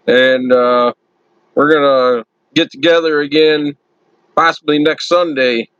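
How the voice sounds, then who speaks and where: Loud guy doing a casual live stream off his computer, dry dull lo-fi sound. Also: medium pitch, no room sound. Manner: loud friendly talker. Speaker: YouTube channel host.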